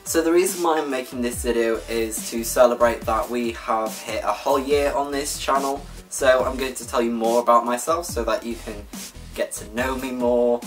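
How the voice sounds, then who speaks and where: A man's voice: medium pitch, moderate pace, a bit bright, faint music behind. A nineteen-year-old Welsh man chatting casually to his viewers, rambling and correcting himself with lots of fillers. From Wales. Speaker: gaming YouTuber. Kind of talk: casual vlog talk to viewers.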